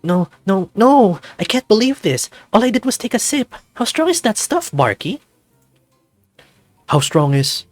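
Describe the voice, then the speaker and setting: A man's voice: sits high, sing-song, a little slow, no echo, faint music behind, pitched above the performer's own voice. A man voicing an anxious, tipsy vampire bat husband in a visual novel, fretful and flustered. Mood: panicked.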